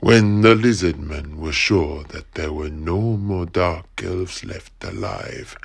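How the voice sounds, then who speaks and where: A man playing an explorer in an audio drama, grimly recounting a battle in a husky, gravelly voice with deliberate, drawn-out phrasing. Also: sits low, full character voice. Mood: grave, dramatic. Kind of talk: dramatic audio play narration.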